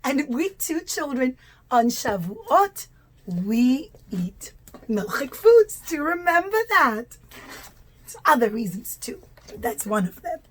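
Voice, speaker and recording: High-voiced woman teaching young children. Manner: simple, expressive, emphatic teaching with drawn-out key words. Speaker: children's storyteller and religious educator. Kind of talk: storytelling lesson for young children.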